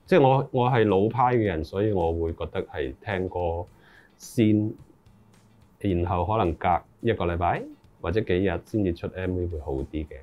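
A man's voice: low voice, normal speed, dull audio. A middle-aged man with a Hong Kong accent chatting casually as an interview guest, in slangy speech sprinkled with English. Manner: informal, slangy, mixes in English words. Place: small room indoors, seated group.

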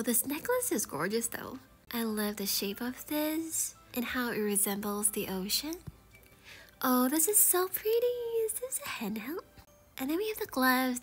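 A woman with a stuffy, blocked nose chatting casually as she previews game outfits, gushing over items and often dropping to a soft whisper. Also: a little high. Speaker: gaming YouTuber. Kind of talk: casual gacha preview commentary.

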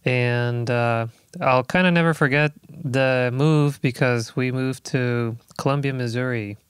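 A man's voice: mid-range voice, steady tone, a little slow, smooth, no echo. A man in his thirties from Puerto Rico, a musician speaking as a guest in a recording studio, thoughtful and reflective with frequent fillers. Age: 30s. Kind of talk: casual interview talk.

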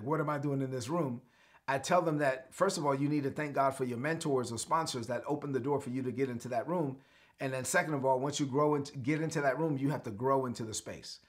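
Middle-aged pastor from Brooklyn, daily livestream sermon, earnest and declarative, repeats phrases for viewers, seated home-studio setup. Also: male voice, medium pitch, fairly bright tone. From Brooklyn, New York. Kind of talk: live-streamed daily sermon. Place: home studio with lit shelves.

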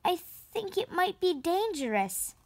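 A woman's voice: very high voice, sing-song, slow, darkish tone, pitched above the performer's own voice, full character voice. A young girl voicing a tiny, timid, kind elephant schoolgirl in a storybook, meek and earnest. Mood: meek, worried.

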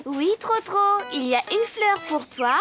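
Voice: extremely high pitch, lively intonation, unhurried. An actor voicing a cheerful, teasing little girl donkey who coaxes playfully in a children's cartoon. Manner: playful, coaxing. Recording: music behind the voice.

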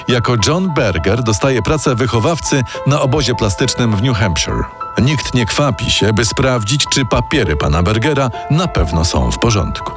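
A man narrating a scripted true-crime programme dramatically, with suspenseful storytelling and pointed pauses. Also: mid-range voice.

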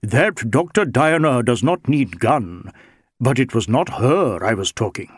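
A man's voice: mid-range voice, animated, a little slow, husky, no echo, clean studio sound. A man voicing a big, brawny Sikh rogue in an audiobook, sly and teasing, with wheedling mock deference. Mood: slyly menacing. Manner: wheedling mock deference, Indian English phrasing. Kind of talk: audiobook character dialogue.

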